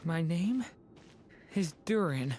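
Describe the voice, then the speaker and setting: A woman voicing a gentle, uncertain young boy dragon in a video game, speaking softly and haltingly. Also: on the low side, expressive, a little hiss or hum. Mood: lost. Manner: soft, halting, earnest. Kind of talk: dramatic video game voice acting.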